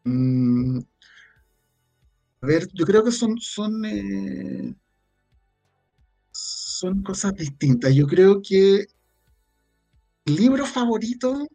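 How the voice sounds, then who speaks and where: A man with a Chilean accent answering interview questions as a guest, reflective and halting as he searches for words. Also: high voice, sing-song, creaky, dark tone, faint music behind. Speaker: guest, audio drama creator.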